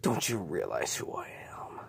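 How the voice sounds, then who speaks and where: A man voicing a sinister female vampire countess in a hushed, taunting whisper. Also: very deep voice, huge pitch swings, normal speed, vocal fry, voice pushed down, exaggerated voice.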